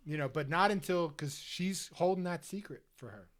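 A man from the Philadelphia suburbs muses in a loose book-club chat. He rambles and leans heavily on "like" as he works through his thoughts. His voice sits a touch higher than most men's. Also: lively intonation, normal speed. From Delaware County, Pennsylvania. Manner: thoughtful, rambling, heavy use of "like". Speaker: podcast co-host.

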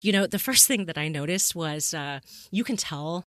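A woman's voice: low voice, expressive, moderate pace, fairly bright tone, no echo, studio quality. A woman hosting a podcast in a casual, chatty, amused and informal way. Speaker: podcast host.

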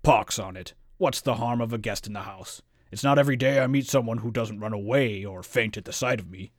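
A man's voice: fairly low pitch, fairly quick, dry recording, clean studio sound, lightly acted. A man voicing a grumpy, blustering beast-man lord with theatrical indignation, reading from a fantasy storybook. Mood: grudgingly hospitable. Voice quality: raspy growl.

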